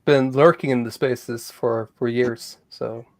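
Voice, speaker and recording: A man's voice: medium pitch, fairly even intonation, no room sound. Hesitant man with a dry close sound. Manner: hesitant, halting with fillers. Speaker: guest, crypto project developer. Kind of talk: casual livestream chat.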